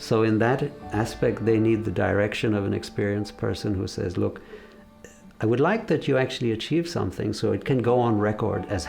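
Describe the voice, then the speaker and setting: A man in his fifties talks in a documentary interview, his low voice carrying a gravelly edge. He speaks slowly and thoughtfully, pausing often. Faint music plays beneath him. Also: a little mellow. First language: English. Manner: measured, reflective, with frequent hesitations. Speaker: car designer.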